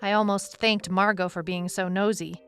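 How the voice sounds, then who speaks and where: A woman's voice: medium pitch, fairly even intonation, moderate pace, fairly bright tone, clear, resonant tone, soft background music, natural voice. A woman playing a determined detective who narrates her own case in a scripted audio drama. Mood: brooding, reflective. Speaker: lead narrator.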